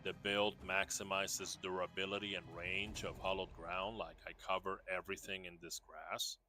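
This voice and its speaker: A man's voice: low voice, flat delivery, normal speed, sharp tone, faint music behind. A man from Puerto Rico casually showing off his game character builds, rambling with lots of fillers and restarts. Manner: rambling, laid-back, many fillers and restarts. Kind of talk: casual game showcase chat.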